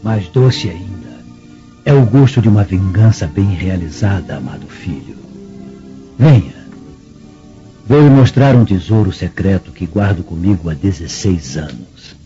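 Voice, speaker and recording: An actor playing a sly, vengeful old father in a Brazilian radio drama, savouring his words slowly with a Brazilian accent. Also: low-pitched, animated, dark tone. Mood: gloating. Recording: muffled audio with faint music.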